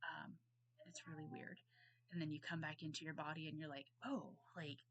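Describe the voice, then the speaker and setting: A woman in her thirties recording a casual class response at home on her computer, hoarse from a cold, rambling and apologetic with many ums. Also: low voice, steady tone, moderate pace, fairly bright tone. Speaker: student. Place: at home on a bed. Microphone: computer mic.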